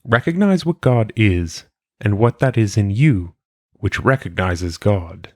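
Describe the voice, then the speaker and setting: A man reading philosophical maxims aloud in a solemn audiobook style, with measured, deliberate phrasing. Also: on the low side, lively intonation, no room sound, studio quality. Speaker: reader.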